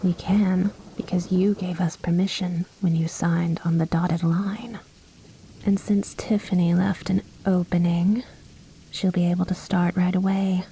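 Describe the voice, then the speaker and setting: A woman voicing a commanding, seductive vampire noblewoman in a soft, breathy, coaxing whisper for an ASMR roleplay. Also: on the low side, little pitch movement, slight background noise, low-quality recording. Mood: mock-sweet menace. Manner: slow, coaxing, silkily condescending.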